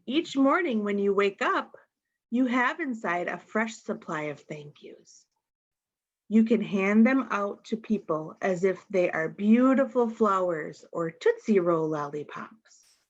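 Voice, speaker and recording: Female storytime reader for small kids: slow, expressive, direct address, middling pitch, somewhat muffled lo-fi recording. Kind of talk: picture book read-aloud for young children.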